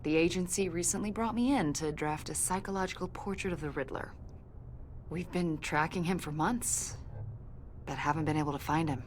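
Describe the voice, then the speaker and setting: An actress playing a confident government agent in a video game, questioning in a pointed, insinuating and accusatory way. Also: female voice, low-pitched, normal speed, a little hiss or hum, natural voice. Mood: probing. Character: probing female government agent, confident, accusatory, smoothly needling. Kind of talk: video game dialogue acting.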